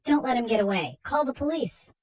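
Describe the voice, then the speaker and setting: Storybook male doctor, voiced by a woman, commanding, knowing, declamatory, husky, lively intonation. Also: on the high side, brisk, voice pushed up. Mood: urgent commanding. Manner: emphatic, declamatory.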